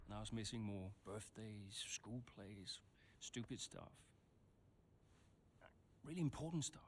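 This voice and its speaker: A worn, peacemaking motorsport team principal from a video game drama. His hesitant speech rises and falls widely in pitch, over a faint bed of noise. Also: expressive, measured pace. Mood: reflective, regretful. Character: weary, conciliatory racing team principal, a guilt-ridden family man. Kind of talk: naturalistic cinematic game acting.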